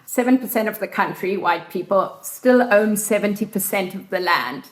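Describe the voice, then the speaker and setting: A South African woman speaks in a steady, explanatory way at a middling pitch. Her voice rings a little in a reverberant room. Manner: measured, informative, steady. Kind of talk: explanatory interview answers.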